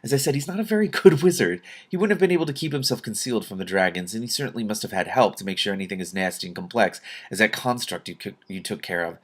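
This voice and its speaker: Guy doing a practical princess from a storybook, brisk, wry, totally unflustered. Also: on the low side, close to an everyday voice. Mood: dryly wry. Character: brisk, matter-of-fact princess, dryly wry.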